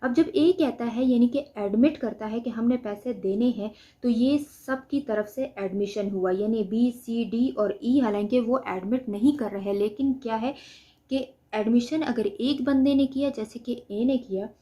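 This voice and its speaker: A woman's voice: fairly high pitch, measured pace, warm tone. A woman with a Pakistani accent giving an explanatory lecture, reading out notes and explaining them in a didactic way, often posing questions and answering them. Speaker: law lecturer. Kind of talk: explanatory lecture mixing read-out notes with examples.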